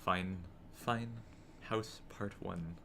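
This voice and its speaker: A man's voice: sits low, extremely slow, vocal fry, pushed and bright, slight background noise. A man co-hosting a casual game playthrough at home, grumbling and sarcastic, swearing often. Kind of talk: casual chat. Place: home room. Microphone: shared desk microphone.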